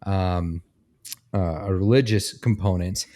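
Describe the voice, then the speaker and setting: A man explaining things in casual podcast chat, in a halting, thinking-aloud way. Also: low voice, warm tone. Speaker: podcast co-host.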